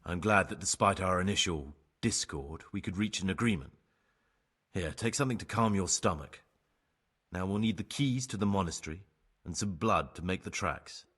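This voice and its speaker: An actor playing a remorseful ex-bandit turned novice monk in a game cutscene, husky, measured and persuasive. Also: male voice, low voice, a bit bright. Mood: persuasive, pragmatic. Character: remorseful young ex-bandit novice monk, sly pragmatic bargainer. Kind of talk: dramatic game cutscene acting.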